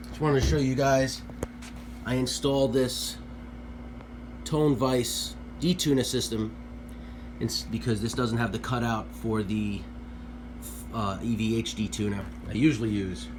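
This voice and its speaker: A man casually explaining how a guitar detuner works at his workbench, relaxed and offhand, with fillers. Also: medium pitch, unhurried, background noise. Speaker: guitarist demonstrating gear. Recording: guitar playing under the voice.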